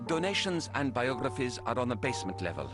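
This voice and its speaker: A man's voice: mid-range voice, big pitch swings, quick pace, natural voice. An actor playing a concerned, helpful male academic in a horror video game, with an earnest, explanatory way of talking. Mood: helpful, matter-of-fact. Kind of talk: dramatic video game dialogue. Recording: music behind the voice.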